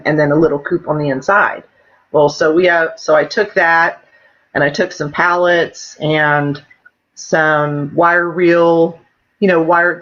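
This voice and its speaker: A woman's voice: sits low, fairly bright tone. A middle-aged woman from Oklahoma chatting casually on a livestream. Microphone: internet video call. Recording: dull lo-fi livestream audio.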